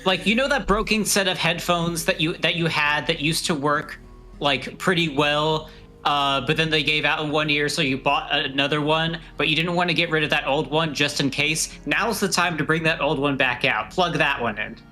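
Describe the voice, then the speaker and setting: A man's voice: on the high side, normal speed, bright, forceful tone, faint music behind. A man chatting casually as a livestream guest, with a dry, wry, rambling way of bantering.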